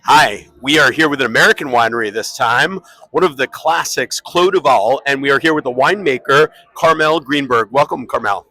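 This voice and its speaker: A man's voice: mid-range voice, fairly quick, hardly any pauses, pushed and bright, no echo, rough recording. A man hosting a podcast, chatting with winemakers on site at a wine tasting with upbeat questions. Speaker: podcast host. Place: busy indoor tasting event.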